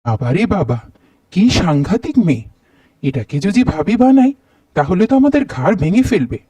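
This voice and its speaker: A man with a warm, mellow voice that rises and falls freely as he voices a dubbed cartoon. He mutters exclaiming asides to himself. The sound is close and dry. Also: on the high side, very animated, moderate pace, breathy edge, no echo. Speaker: voice actor for male cartoon characters.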